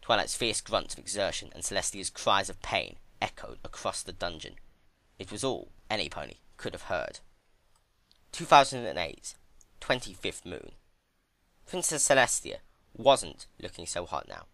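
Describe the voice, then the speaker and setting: A man's voice: low voice, huge pitch swings, moderate pace, creaky, a bit bright, dry recording. A man reading fan fiction aloud as a narrator, in a dramatic scripted style.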